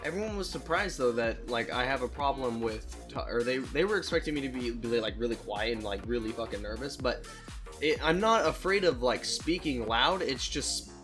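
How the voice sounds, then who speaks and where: A man's voice: mid-range voice, lively intonation, normal speed. A man in his late teens telling personal stories in a casual, rambling, candid and self-deprecating way, swearing freely like a YouTuber on a solo talk show. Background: background music.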